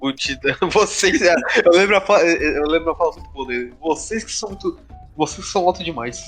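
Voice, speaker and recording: Casting: man, slightly high voice, informal podcast guest dropping brief comments over quiet music. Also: brisk. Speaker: podcast member and player. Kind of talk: casual podcast chat, short interjections.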